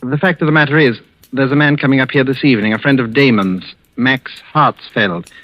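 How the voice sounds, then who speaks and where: A man's voice: mid-range voice, fairly quick, natural voice. Muffled old radio scheming husband, played by a man. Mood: coaxing, scheming. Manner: softly coaxing, patronizing. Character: smooth, scheming husband with quiet control over his wife. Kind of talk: 1940s radio acting.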